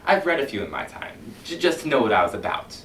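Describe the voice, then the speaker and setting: A man performing a cynical middle-aged fire chief in a competition monologue, lecturing his firemen with sarcasm and swinging between loud and hushed delivery. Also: mid-range voice, sing-song, fast. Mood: sardonic. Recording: echoey hall heard from far off, faint hiss.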